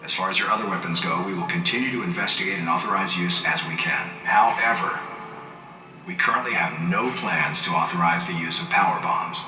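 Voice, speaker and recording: An actor playing a stern, authoritative military commanding officer giving formal, measured orders in a video game. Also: male voice, deep voice, distant mic, close to an everyday voice. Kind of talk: scripted video game cutscene briefing. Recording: echoey game audio with loud music.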